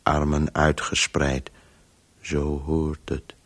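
A man's voice: a bass voice, drawn-out words, exaggerated voice. An actor playing a weary, driven man who tells a story compulsively in terse, broken phrases in a radio play. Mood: bleak, narrating. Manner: fragmented, terse, monotone, urging. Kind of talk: Dutch radio play monologue.

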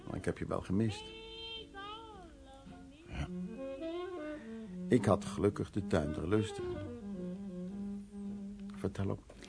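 An actor in a radio play playing a brusque art restorer who mutters and repeats himself hesitantly. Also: male voice, sits low, slow, creaky, long pauses, over music, heavily acted. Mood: awkward, fond. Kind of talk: Dutch radio drama acting, dialogue and soliloquy.

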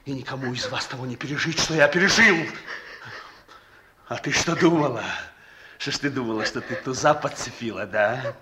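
An actor in a Russian radio drama playing a bitter, hot-tempered runaway pickpocket, slangy, sneering and defiant. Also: male voice, mid-range voice, moderate pace, lightly acted. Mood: bitter confession. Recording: noisy, echoey old radio drama recording.

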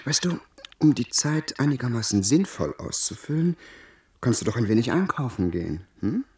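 An actor in a German radio play playing a polite, earnest young aristocrat with a formal, slightly anxious manner. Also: male voice, medium pitch, very animated, normal speed, darkish tone, husky, exaggerated voice. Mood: restless, impatient.

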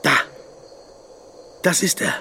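An actor in a radio play voicing a pensive, word-obsessed lawyer lost in reverie, speaking in halting, intimate, poetic musings. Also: male voice, sharp tone, a little hiss or hum. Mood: rapt.

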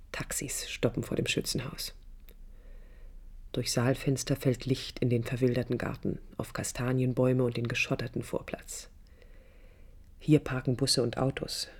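An actor playing a reflective, ironic storyteller in a literary radio drama, measured and emphatic. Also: male voice, medium pitch, warm tone. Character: reflective, ironic first-person storyteller looking back.

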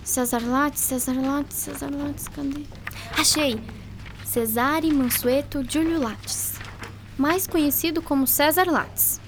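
Curious, excitable schoolgirl for radio play: very high voice, expressive, thinking aloud. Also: slow pace, background noise. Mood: curious, musing. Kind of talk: radio drama acting.

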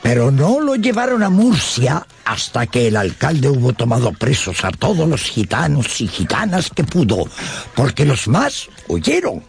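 A man's voice: medium pitch, sing-song, unhurried, creaky, a little hiss or hum. A man narrating a dramatized literary tale for radio in a theatrical, emphatic storytelling manner.

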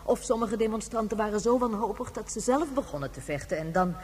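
A woman's voice: mid-range voice, lively intonation, fairly quick, darkish tone, close to an everyday voice. Reverberant, reflective very old woman, played by an actress. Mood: reminiscing. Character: very old woman, 197 years, reflective and gentle. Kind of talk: Dutch science fiction radio drama acting. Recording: hollow long reverb, humming background noise.

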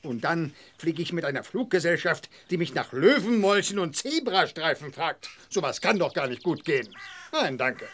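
A man's voice: on the high side, big pitch swings, moderate pace, fairly bright tone, slight background noise. A man playing a sarcastic, reluctant middle-aged father in a children's audio drama, grumbly and dry. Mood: grumpy, sarcastic. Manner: dry, grumbly, hesitant when embarrassed.

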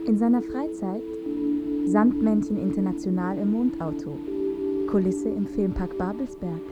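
An actress playing a matter-of-fact presenter in an experimental radio drama, explaining footage in a declarative, instructive way. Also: female voice, medium pitch, moderate pace, natural voice. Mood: matter-of-fact, insistent. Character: woman presenter matter-of-factly explaining archive moon footage. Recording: muffled sound, prominent music underneath.

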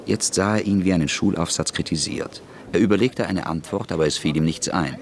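A man narrating a radio play in a brisk, crisp storytelling manner. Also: low-pitched, a little hiss or hum.

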